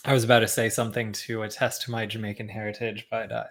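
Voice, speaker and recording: Low-voiced man, loose and chatty. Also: quick pace, a little hiss or hum. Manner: relaxed, lots of ums and likes, chuckles often. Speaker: podcast guest, tech founder. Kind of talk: casual interview chat.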